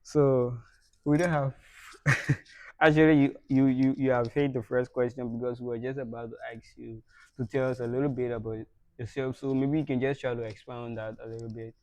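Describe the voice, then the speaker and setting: A young man hosts a podcast in a relaxed, conversational way, often laughing and repeating words as he gets going. His voice is mellow and dark. The recording is dry and close. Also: mid-range voice, normal speed, dry recording, poor audio. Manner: warm, laughs often, repeats words when starting a sentence. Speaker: podcast host. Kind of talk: casual podcast interview.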